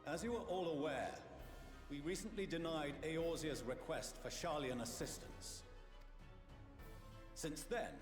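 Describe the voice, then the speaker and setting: Game politician: pompous, accusatory, raised pitch, animated oratory, long chamber reverb. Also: male voice. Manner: oratorical, formal, haughty. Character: pompous, accusatory male politician, stern and disdainful. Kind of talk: video game cutscene acting. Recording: echoey chamber, music underneath.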